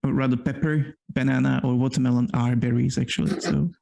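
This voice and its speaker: Guy, bit of a fry in the voice, rambly explainer on a chill podcast. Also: mid-range voice, little pitch movement, brisk, dark tone. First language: Slovak. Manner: explains at length with many restarts. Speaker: podcast co-host. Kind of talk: casual chat.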